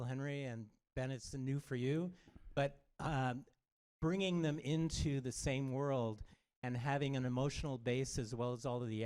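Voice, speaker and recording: Hoarse journalist asking a winding question. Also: male voice, mid-range voice, darkish tone. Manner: long winding question with many fillers. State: losing his voice. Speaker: newspaper journalist.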